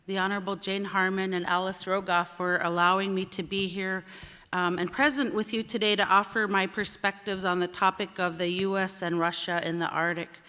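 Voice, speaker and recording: A woman from St. Lawrence Island, Alaska, a native Siberian Yupik speaker, giving a measured conference speech as a panelist, with frequent short pauses. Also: mid-range voice, little pitch movement, pushed and bright, clean tone. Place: large indoor conference hall. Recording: echoey hall sound.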